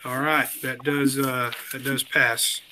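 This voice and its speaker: A middle-aged man chairing a formal board meeting over a video call, measured and procedural with small hesitations. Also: medium pitch, level tone, bright, forceful tone. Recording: video call with faint background noise.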